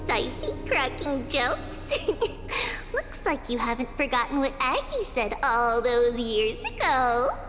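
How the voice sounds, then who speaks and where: A woman's voice: high-pitched, big pitch swings, slow pace, fairly bright tone, full character voice. A woman voicing a tiny, cheerful, childlike girl in a video game, fond and teasing. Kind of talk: video game character acting. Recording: long reverb, music behind.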